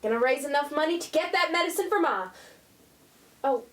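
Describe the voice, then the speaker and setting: A high, youthful voice in a roomy, slightly distant recording. It is a headstrong teenage eldest sister in a radio drama, acted by a woman, bossy and protective of the younger ones. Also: expressive, fairly quick. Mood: brisk, determined. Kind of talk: radio play acting. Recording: roomy, distant mic, faint hiss.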